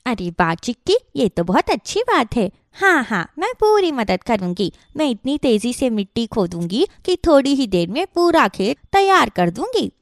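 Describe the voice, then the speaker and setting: A woman's voice: a little high, very animated, normal speed, hardly any pauses, no echo, studio quality. A woman voicing a cheerful, helpful small female woodland animal with bubbly enthusiasm in a children's cartoon. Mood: enthusiastic. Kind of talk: Hindi children's cartoon story acting.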